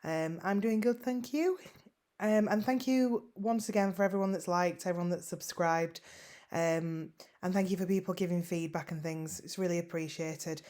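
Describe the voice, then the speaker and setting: A middle-aged woman with a West Yorkshire accent talks in a fairly low voice. She chats casually and modestly, with frequent ums and repeated words. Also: fairly even intonation, moderate pace, dark tone. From West Yorkshire, England. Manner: chatty, self-deprecating, many ums and repeated words. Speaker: online seller vlogger. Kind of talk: casual screen-share vlog.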